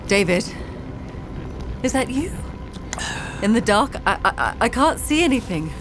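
An actress playing an estranged daughter drawn to the supernatural in an audio drama, with an intense, hesitant delivery. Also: female voice, a little high, very animated, a little slow, slight background noise. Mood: tentative, fearful. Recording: loud dramatic score and effects.

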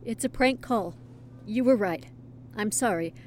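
An actress playing a nervous young college-dropout dispatcher who gets bullied into backing down. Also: female voice, mid-range voice, a little slow, darkish tone, slight background noise, close to an everyday voice. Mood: timid. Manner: hesitant, timid, apologetic.

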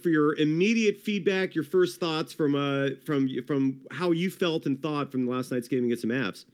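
A man hosting a sports podcast in casual, upbeat chat, hyping his guest and calling him brother. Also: mid-range voice. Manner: hyped, chummy, calls guest brother. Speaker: podcast host.

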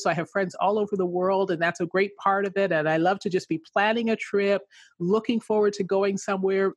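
A chatty woman raised in England and Canada, answering interview questions and laughing easily between thoughts. Her voice sits in a middle pitch, and it is recorded dry and close. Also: no room sound. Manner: warm, chatty, laughs often. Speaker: guest author.

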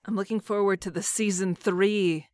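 Enthusiastic woman with a mid-pitched voice. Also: steady tone, normal speed, a little mellow. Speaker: voice actor, guest. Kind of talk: casual chat.

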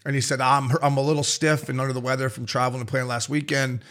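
A man co-hosting a podcast with a raspy voice, telling stories fast and full of swearing, acting out other people's lines. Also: medium pitch, little pitch movement, a bit bright. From Canada. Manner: fast, profane storytelling with voiced quotes. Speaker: podcast co-host. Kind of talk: casual chat.

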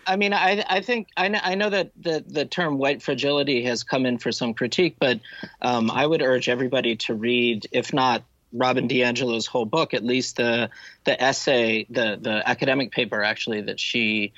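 A man giving an analytical take on literature as a podcast guest, deliberate and given to emphatic repetition. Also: mid-range voice, fairly bright tone, dry recording. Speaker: podcast guest, novelist and critic. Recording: dull, dry close audio.

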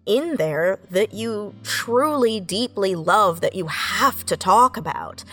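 A woman's voice: mid-range voice, lively intonation, takes it slowly, fairly bright tone. A woman speaking in an earnest, encouraging and emphatic way in a reflective panel conversation, often repeating phrases to press a point. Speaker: audio fiction writer on a panel. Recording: music playing behind the voice.

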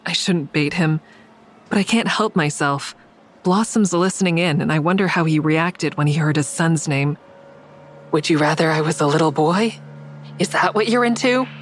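Wry, defiant thriller heroine. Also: female voice, low-pitched, moderate pace. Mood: tense, baiting. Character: weary, defiant woman narrating her own thriller. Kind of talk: first-person audio drama narration and dialogue.

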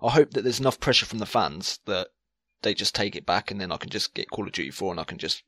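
A man's voice: lowish voice, expressive, fast talker, fairly bright tone, no room sound. A man giving his opinions informally as a panelist in a casual podcast chat.

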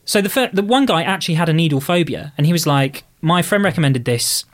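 A woman's voice: sits low, animated, fast. A woman co-hosting a podcast, telling anecdotes in a casual, playful, joking way. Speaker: podcast co-host.

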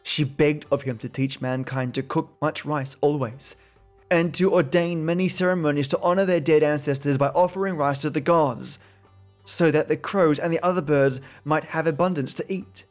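A man reading an old folk tale aloud in a calm, steady storytelling voice. His pitch sits a little high. Faint music plays underneath. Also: moderate pace. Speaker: podcast host reading folk tales. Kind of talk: storytelling narration.

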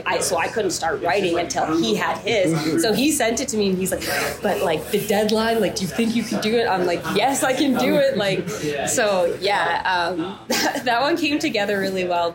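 A woman rapper being interviewed, talking casually with lots of fillers and restarts. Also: lowish voice. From Canada. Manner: chatty, many fillers and restarts. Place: downtown coffee shop. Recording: busy coffee shop chatter behind.

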